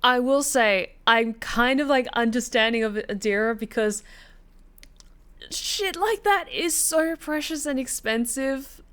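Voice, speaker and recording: Woman streamer, slightly high voice, chatty, informal, casual game commentary. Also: a little slow, fairly bright tone, smooth. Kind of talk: casual reaction commentary on a visual novel.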